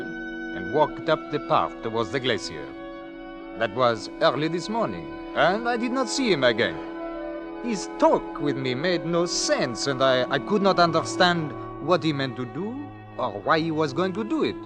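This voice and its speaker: An actor playing an earnest, puzzled mountain villager, halting and careful, in a 1940s radio drama. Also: male voice, medium pitch. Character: earnest, puzzled male Alpine villager recounting a strange meeting.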